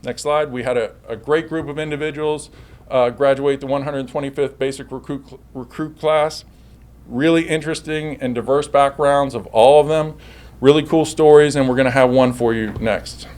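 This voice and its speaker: A man's voice: mid-range voice, fairly even intonation, normal speed, a little mellow. A man speaking as a police chief at a public briefing with slides, often repeating and correcting himself as he goes.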